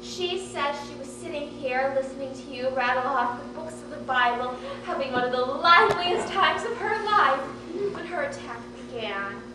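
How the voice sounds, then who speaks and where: Fussy, chatty hostess of middle years, woman performer, high-pitched; stage comedy, distant and heavily echoing. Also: expressive, normal speed, a crowd in the background. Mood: apologetic, gushing. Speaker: student stage actress. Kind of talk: live one-act stage comedy acting. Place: theatre stage, recorded from afar. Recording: very echoey noisy hall, distant mic.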